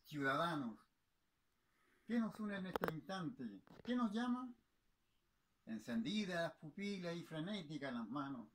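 A male poetry reciter with a fairly high-pitched voice declaims patriotic verse. His delivery is solemn and impassioned, shaped like theatrical oratory with dramatic pauses between phrases. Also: low-quality recording.

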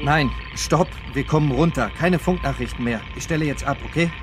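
A pragmatic, reassuring male spaceship scientist played by an actor. His voice is a little high, with faint music underneath, in the acting style of a science fiction radio play. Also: fairly quick, lightly acted. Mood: brisk. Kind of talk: German radio drama acting.

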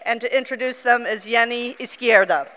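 A woman's voice: fairly high pitch, moderate pace, fairly bright tone. A woman hosting a live event from a theater stage, making friendly announcements with a few light fillers. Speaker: event host. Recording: reverberant auditorium.

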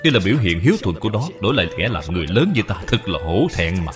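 A man's voice: sits low, sing-song, rapid-fire. A man voicing a kindly, courteous middle-aged general in a cartoon, speaking earnestly. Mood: gentle, admiring. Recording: long reverb, background music.

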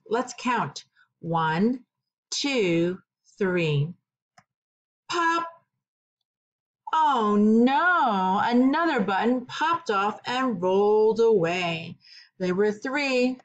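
Very slow-paced woman teaching small children. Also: medium pitch, expressive, highs cut off. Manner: deliberate, simple phrasing with repeated questions for young children. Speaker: kindergarten teacher. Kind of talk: children's read-aloud with counting lesson.

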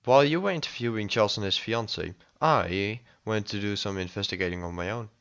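A man's voice: on the low side, a little fast, a bit bright, clean tone. A man playing a restless, self-important president in an audio drama, speaking in a formal, insistent way. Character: restless, self-important male president turned amateur sleuth.

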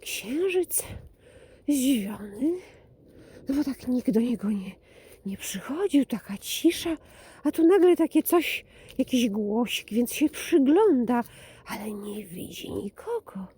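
A woman telling a children's fairy tale expressively, often dropping to a hush and repeating phrases for suspense. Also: a little high, animated, slow. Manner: hushed, breathy drops, repeats phrases for suspense. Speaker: children's storyteller.

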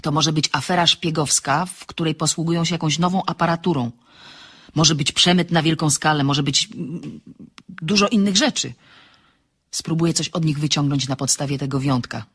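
A bold woman sleuth in a radio play. She speaks briskly and with self-assurance in a husky voice. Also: a bit bright. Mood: excited. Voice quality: low, husky. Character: excitable, bold amateur sleuth woman, composed when bluffing. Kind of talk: radio drama acting.

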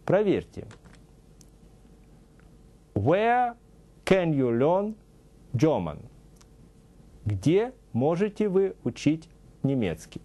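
Middle aged guy doing a tv english lesson, slow and careful, kinda high warm voice, spells out the english bits. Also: big pitch swings. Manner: slow, deliberate, exaggerated English examples. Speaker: English teacher. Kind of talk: scripted TV language lesson. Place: TV studio with a news-desk set.

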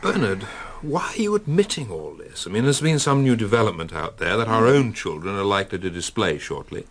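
An actor playing a sceptical, plain-spoken gentleman in a radio drama, dry and questioning. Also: lowish voice, big pitch swings, fairly quick, slight background noise. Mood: sceptical, probing.

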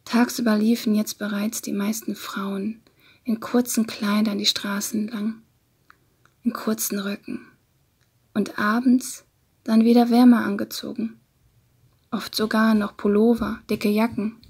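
A woman reading literary narration in a breathy voice that often slips toward a whisper. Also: medium pitch, level tone, measured pace, a little mellow. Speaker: audiobook narrator.

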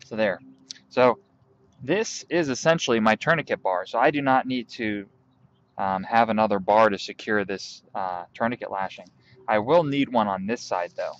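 A young man outdoors in a field, calmly walking through a hands-on tutorial step by step while he works with his hands. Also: fairly low pitch, normal speed, muffled recording, a little hiss or hum. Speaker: bushcraft instructor.

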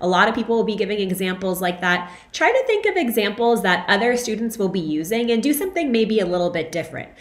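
Woman, young adult, hoarse from illness, conversational lecturer, says "right?" and "um" a lot, webcam, roomy echo. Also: mid-range voice, very animated, fast, hardly any pauses. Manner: conversational, frequent "right?" and "um" fillers. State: hoarse from being sick. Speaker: instructor. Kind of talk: recorded lecture feedback to students. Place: small room at home, indoors. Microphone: webcam, video call framing.

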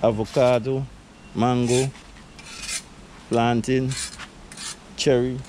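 A middle-aged man with a Vincentian accent talks through his backyard fruit garden in an easy, chatty way, slipping into creole phrasing. His voice sits at an ordinary pitch for a man. Faint outdoor noise hangs behind him. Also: slow. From Saint Vincent and the Grenadines. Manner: relaxed, chatty, laughs often, creole phrasing. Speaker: vlogger giving a garden tour. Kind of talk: casual chat.

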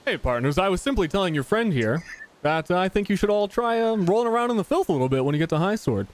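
A man plays a folksy, smooth-talking quack healer who greets people as partners. His voice sits fairly high and swoops around lively as he coaxes. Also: fast. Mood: coaxing.

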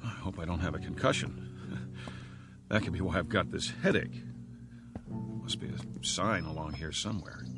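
An actor playing a bewildered man without memory in a radio drama, halting, stammering and questioning himself as he rambles. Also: deep voice, vocal fry, exaggerated voice. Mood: uneasy, searching. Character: bewildered amnesiac man, rambling and nervously chatty. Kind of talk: radio drama first-person monologue.

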